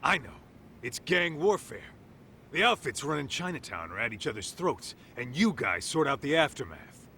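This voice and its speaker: A man's voice: mid-range voice, very animated, moderate pace, bright, forceful tone, slight background noise. An actor playing a brash, streetwise male tough guy in a video game, blunt and slangy. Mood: brash, sceptical.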